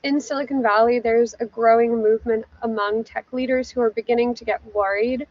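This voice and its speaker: A woman's voice: on the high side, steady tone, a little fast. A woman giving casual, conversational news analysis as a journalist guest in an interview. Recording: muffled, distant from the mic.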